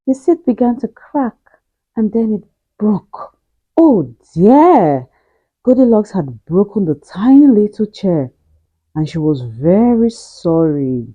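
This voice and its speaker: A woman reads a children's story aloud as a storyteller, with deliberate, emphatic delivery and drawn-out key phrases. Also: on the high side, dark tone, no room sound, muffled recording. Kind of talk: expressive children's storybook narration.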